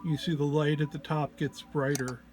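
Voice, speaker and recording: A man giving an informal technical walk-through as a presenter, sounding low-energy and under the weather, with a hesitant, halting delivery. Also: level tone, over loud music. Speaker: presenter demonstrating an audio effects patch. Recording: clear background noise, single mono microphone.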